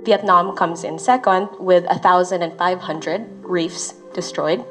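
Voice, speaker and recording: A woman from the Philippines, a research associate, calmly explaining findings with occasional fillers. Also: on the low side, unhurried, soft background music. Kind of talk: explanatory interview talk.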